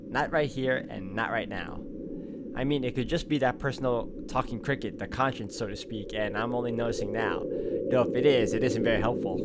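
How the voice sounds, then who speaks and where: A man's voice: mid-range voice, heavy background noise. A man reading a visual novel aloud as a playthrough, dropping in wry casual asides. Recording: music behind the voice.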